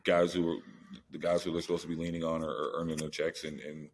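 A man with a very deep bass voice talks soccer at a quick clip. He is informal and slangy, like a podcast co-host chatting with a friend. There is some faint noise behind him. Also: level tone. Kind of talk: casual soccer discussion.

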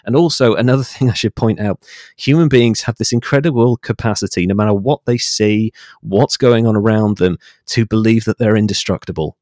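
A man in his late thirties with a fairly low voice, recorded close and dry. He talks as an interview guest in an articulate, measured, opinionated way, reflecting at length. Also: a little mellow, dry recording. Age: late 30s. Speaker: podcast guest.

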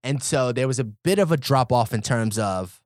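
Man, podcast co-host, easygoing, agreeable, average pitch, dry recording. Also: lively intonation, moderate pace, darkish tone, no room sound. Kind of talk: casual film-review chat.

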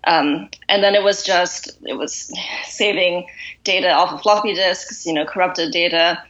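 A woman from Singapore, a podcast guest, talking in a relaxed, thoughtful way with many fillers. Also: lowish voice, a little slow, lo-fi sound. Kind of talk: casual interview.

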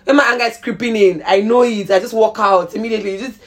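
A woman with a Nigerian accent hosting a podcast in casual, slangy, animated chat. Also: mid-range voice, fast talker. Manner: animated, laughs often, informal with slang. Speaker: podcast host.

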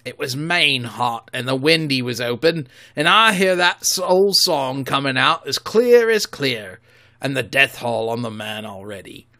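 A man voicing a haunted old pirate sailor who tells a story loudly and slowly, in a brooding way. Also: medium pitch, expressive.

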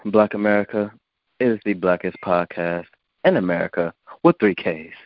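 A man's voice: low voice, moderate pace, dry recording. A man hosting a podcast, talking in a blunt, provocative, preachy way. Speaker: podcast host. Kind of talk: casual podcast talk. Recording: dull lo-fi sound.

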